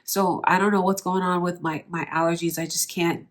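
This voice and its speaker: A woman's voice: on the low side, fairly even intonation, normal speed. A woman with a nasal, stuffed-up voice giving a tarot reading, speaking directly and earnestly to the viewer. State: nasal from allergies. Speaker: tarot reader.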